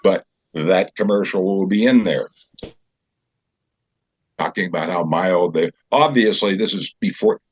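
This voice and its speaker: A man explaining a theater show as its director in an interview, in a conversational, halting way. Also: deep voice, darkish tone, breathy edge. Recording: dull, lo-fi sound.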